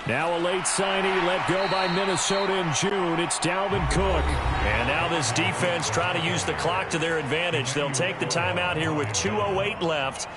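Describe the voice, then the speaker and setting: An actor playing a polished American football play-by-play announcer in a video game, with a crisp delivery. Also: male voice, sits high, normal speed, very noisy, lightly acted. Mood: focused, brisk. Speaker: play-by-play sports commentator. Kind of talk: scripted video game sports commentary. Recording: loud music under the voice.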